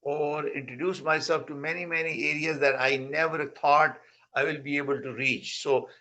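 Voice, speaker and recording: A man hosting an online real estate conference over a video call, talking in a halting way with repeated words. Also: on the high side, steady tone, bright, forceful tone. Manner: halting, repeats words, non-native phrasing. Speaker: host and founder of the conference. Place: Mississauga near Toronto.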